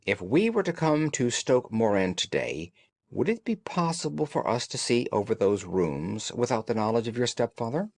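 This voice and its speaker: Guy doing a sharp-minded gentleman detective, formal, deliberate, lots of pitch movement, dry recording. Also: big pitch swings, vocal fry, dry recording. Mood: grave. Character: shrewd, commanding gentleman detective, calm and analytical.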